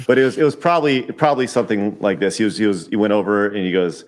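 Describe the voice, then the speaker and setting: A man's voice: on the low side, fast, low-quality recording. A man in his forties, a podcast guest chatting casually over a call, often repeating words and restarting his sentences. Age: 40s. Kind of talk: casual chat. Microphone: remote call audio.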